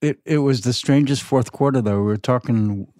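Older man, medium pitch, halting delivery, casual sports chat, podcast guest, dry sound. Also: mellow, dry recording.

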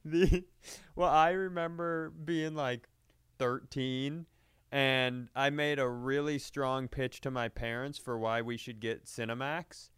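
Crisp-voiced man, dry close sound. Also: medium pitch, fairly even intonation, slow, a bit bright, no room sound. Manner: crude, confessional joking. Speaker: comedy podcast co-host. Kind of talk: casual comedic chat.

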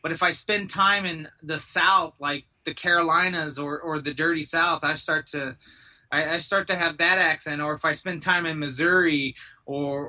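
A man chats as a guest on a podcast with a very bright, tense edge to his voice. He sits fairly high in pitch and rambles through stories with plenty of restarts and casual swearing. It is loose, easygoing talk. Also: recorded from a distance. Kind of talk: casual chat.

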